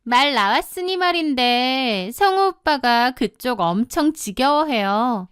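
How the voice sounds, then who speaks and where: A woman voicing a brazen young mistress in an audio drama, calm, cheeky and mock-polite as she taunts a wife. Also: sits high, takes it slowly, smooth, no room sound, clean studio sound, heavily acted. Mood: taunting, mock-calm.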